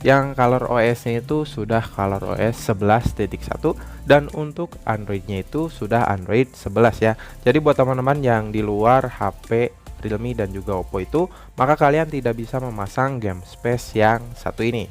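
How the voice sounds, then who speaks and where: Male tutorial host, mid-to-low voice, methodical instructional delivery over background music. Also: moderate pace. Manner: step-by-step explaining, repetitive phrasing. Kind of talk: phone app tutorial narration.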